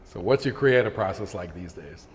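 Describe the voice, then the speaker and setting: An interviewer asking relaxed, casual questions and giving brief affirmations. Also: sits low, normal speed. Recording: long reverb, faint background noise.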